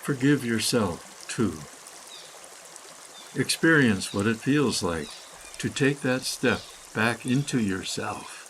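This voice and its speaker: A man reading a scripted, poetic guided meditation in measured, deliberate phrases with pauses. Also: mid-range voice, animated, fairly bright tone, a little hiss or hum. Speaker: guided meditation reader, author.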